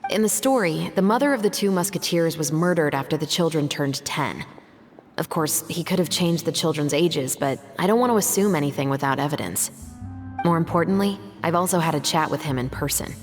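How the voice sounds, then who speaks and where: A woman playing a composed, serious investigator and patrol captain in a video game, speaking in a measured, articulate way. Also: low-pitched, animated, soft background music. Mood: measured, thoughtful.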